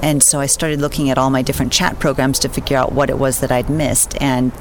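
A woman's voice: very deep voice, level tone, fast, slight background noise. An American missionary woman in her forties, recounting her story earnestly and steadily in an interview. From United States. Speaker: missionary guest.

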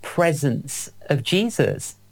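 A man hosting a faith radio interview, asking questions in a measured, encouraging way. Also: a little high, sing-song, creaky, poor audio. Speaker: radio host.

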